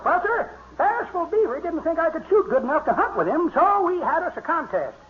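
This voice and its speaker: A man's voice: very high-pitched, sing-song, brisk. A male actor playing a cheerful, boastful old man who tells tall tales in a folksy 1950s radio comedy style. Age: older. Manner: folksy, drawling storyteller. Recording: tinny, faintly noisy 1950s radio recording.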